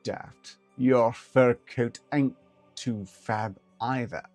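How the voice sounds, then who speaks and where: A man voicing a calm female researcher who speaks slowly and evenly. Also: medium pitch, dry recording, faint music behind. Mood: measured. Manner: slow, measured, level.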